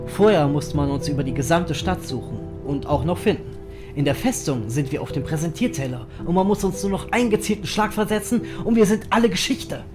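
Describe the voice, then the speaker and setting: An actor playing a weary, grim ferryman who narrates his own story in an audio drama, brooding and measured. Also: a little high, expressive, over music, lightly acted. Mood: wary.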